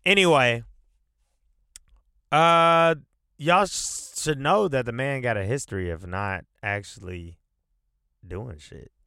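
A man's voice: mid-range voice, expressive, slow pace, creaky, pauses often, bright, forceful tone, clean tone, no echo, clean studio sound. A man hosting a casual solo podcast, rambling loosely and cursing often, with a stuffy nose. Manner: loose, profane, rambling with fillers. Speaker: podcast host.

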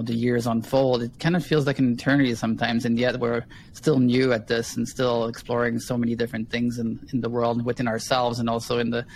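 A man's voice: mid-range voice, creaky, slight background noise, rough recording. A man hosting a podcast interview over a video call, friendly and meandering, with frequent ums and restarts. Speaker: podcast host.

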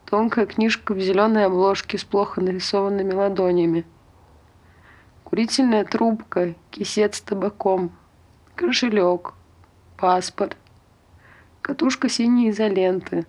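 A woman reading scripted literary narration for an audio play, telling the story in measured short phrases. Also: mid-range voice, steady tone, a little mellow.

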